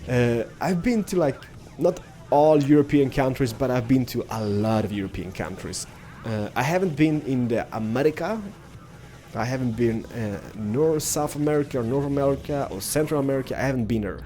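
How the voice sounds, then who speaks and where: A man's voice: medium pitch, moderate pace, dark tone, a little hiss or hum. Rambling young man. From Sweden. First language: Swedish. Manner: rambling, with many "like" and "stuff like that". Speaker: gaming youtuber. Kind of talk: casual gameplay commentary.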